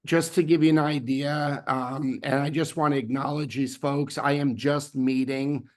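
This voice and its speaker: A man hosting a weekly team video call, his voice hoarse from laryngitis, rambling with fillers and restarts. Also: a little high, steady tone, moderate pace. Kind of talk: casual team announcements.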